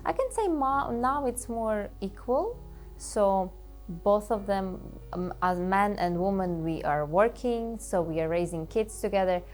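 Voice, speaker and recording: A woman's voice: mid-range voice, expressive, a little slow, music in the background. A woman, answering in an earnest, direct way. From Ukraine. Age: late 20s. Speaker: interview guest.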